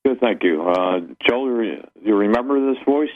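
A middle-aged man phoning in to a radio show, chatting casually in a polite, measured way over a phone line. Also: mid-range voice. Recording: tinny narrowband phone line.

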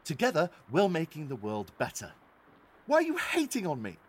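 An actor playing a sanctimonious young activist influencer held captive, preachy and indignant, in an audio drama. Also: male voice, a little high, huge pitch swings, normal speed, husky, full character voice. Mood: self-righteous.

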